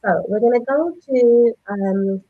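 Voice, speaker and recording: A woman in her thirties presents a live online session as its host. Her voice sits a little high as she addresses viewers and hands over to guests. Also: slow, phone-quality sound.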